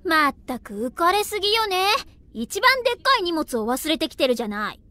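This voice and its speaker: Sharp-tongued, high-pitched anime schoolgirl. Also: very animated, fairly quick, no room sound, heavily acted. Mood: scolding.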